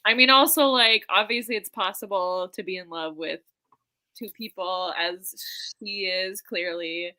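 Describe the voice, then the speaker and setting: A woman's voice: mid-range voice, unhurried, pushed and bright. A young woman co-hosting a casual book discussion in an informal, jokey way. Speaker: co-host of a book readalong live show.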